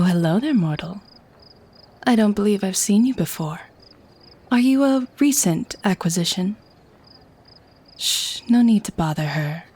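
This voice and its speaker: Sly, mellow-voiced lady vampire played by an actress. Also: medium pitch. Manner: coaxing, silky, genteel, mock-sweet. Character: sly, teasing, predatory lady vampire feigning harmless friendliness. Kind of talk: scripted ASMR audio roleplay.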